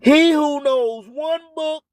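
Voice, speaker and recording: A man's voice: very high voice, slow, dry recording, studio quality. A man hosting an internet radio show, preaching and hyping in repetitive, rhyming catchphrases with a declamatory delivery. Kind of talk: live show hype and preaching monologue.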